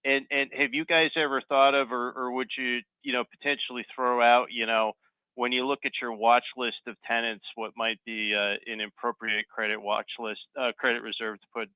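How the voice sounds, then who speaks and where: A man's voice: medium pitch, little pitch movement, normal speed, smooth, no echo. A man asking questions conversationally and off the cuff as a financial analyst on a conference call. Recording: slightly dull, dry call audio.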